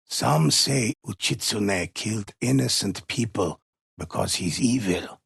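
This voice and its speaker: A man playing a grave, mysterious storyteller in a samurai video game, telling demon legends slowly and reverently in a gravelly, husky voice. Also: deep voice, fairly bright tone, no room sound, clean studio sound, heavily acted. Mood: secretive, grave. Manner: slow, ominous, deliberate, reverent.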